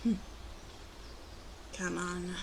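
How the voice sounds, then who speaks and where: A woman playing a protective, commanding female werewolf in an ASMR roleplay, talking slowly and deliberately to the listener in a soft, guarded way. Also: lowish voice, flat delivery, creaky, slight background noise, full character voice, poor audio. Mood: wary.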